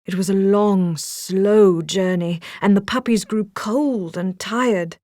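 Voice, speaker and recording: A woman narrating a children's read-along storybook in an expressive, dramatic storytelling manner. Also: mid-range voice, slow, no echo, clean studio sound.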